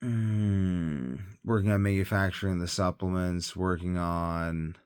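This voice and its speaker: A man's voice: sits low, steady tone, slow, clean tone, dry recording. A tired man talking in a casual, rambling monologue as a health vlogger, hesitant and thinking aloud, often trailing off.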